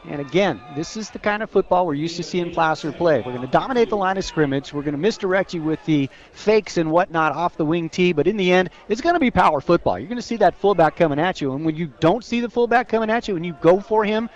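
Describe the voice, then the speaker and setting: A man's voice: sits high, expressive, quick pace, hardly any pauses. An American man giving analytical color commentary on a live radio football broadcast, explaining things in a conversational, folksy way. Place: outdoors at a football stadium. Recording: slightly dull radio broadcast audio.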